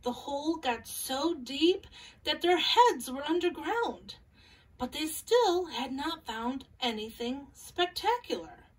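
A woman in her thirties hosting a children's storytime, reading and introducing a picture book in a measured way with pauses. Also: high voice, lively intonation. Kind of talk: scripted introduction and picture-book read-aloud. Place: small furnished room at home, indoors. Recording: voice a little far from mic.

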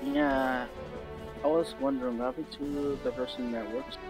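A man improvising a newcomer slayer in a game roleplay, speaking tentatively and haltingly. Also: mid-range voice, steady tone. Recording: music clearly behind the voice. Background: game music.

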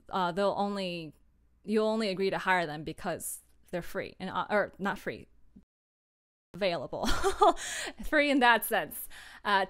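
A woman's voice: mid-range voice, a bit bright, smooth. A young adult woman chatting casually and informally as she explains her work process, with a giggly manner. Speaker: streamer and audio drama producer.

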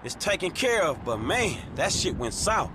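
An actor playing a young street criminal in a video game, slangy, blunt and exasperated. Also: male voice, sits high, big pitch swings, moderate pace, background noise. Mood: low-key, businesslike. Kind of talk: video game dramatic acting.

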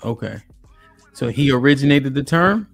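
A man's voice: mid-range voice, expressive, normal speed, vocal fry, faint music behind. A man hosting a live stream talk show from home into a studio mic, asking questions and prompting his guest. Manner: questioning, short acknowledgements. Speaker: host. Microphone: studio mic on a boom.

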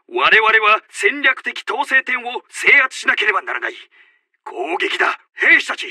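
A commanding Japanese military officer for a war video game. His voice is strikingly high and intensely sharp, stern and urgent as he barks clipped reports and orders. The sound is very dry and close. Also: no room sound, heavily acted. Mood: commanding, rallying. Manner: forceful, clipped, barking orders. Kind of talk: shouted tactical voice lines.

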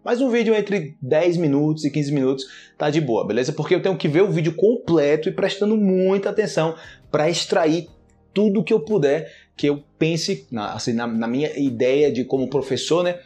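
A man in his thirties with a Brazilian Portuguese accent, teaching Portuguese vocabulary in a casual, animated video lesson and acting out example phrases. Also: fairly high pitch, normal speed, soft background music. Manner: animated, gestures a lot, acts out example phrases. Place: small indoor room, plain wall.

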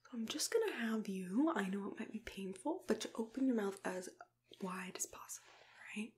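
Young adult woman, ASMR dentist roleplay. Whispery and soft-spoken, gentle, reassuring, close-miked. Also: mid-range voice, animated, moderate pace, a little hiss or hum.

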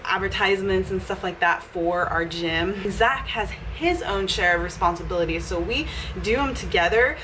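A woman's voice: mid-range voice, moderate pace, a bit bright, a little hiss or hum, poor audio. Giggly chatty young woman vlogging. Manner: chatty, giggly, upbeat, with frequent laughs. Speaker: fitness vlogger and gym owner. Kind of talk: casual vlog chat. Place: small office with leather couch, indoors.

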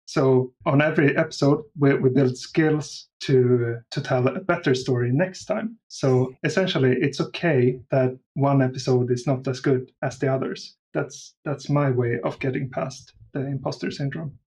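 Man, interview guest, mid-range voice, measured, reflective, casual. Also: medium pitch, fairly even intonation, a little mellow, low-quality recording. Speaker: audio drama creator, guest.

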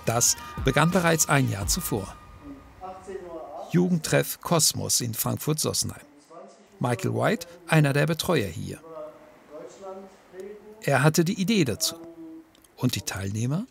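Gravelly male documentary narrator. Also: mid-range voice, big pitch swings, unhurried, long pauses. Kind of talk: scripted narration. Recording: music bed behind voice-over.

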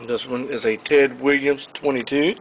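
A man's voice: medium pitch, steady tone. Man listing auction items, tinny audio. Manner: plain, unhurried item-by-item listing. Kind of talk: informal walkthrough narration. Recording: tinny phone-like audio, faint hiss.

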